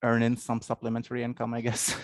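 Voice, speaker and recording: A Moroccan man in his thirties, English not his first language, talking thoughtfully as an interview guest with many pauses and restarts. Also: medium pitch, completely flat delivery, no room sound, clean studio sound. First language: not English; English is his third language. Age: 30s. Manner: thoughtful, many fillers and restarts, laughs often. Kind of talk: casual interview.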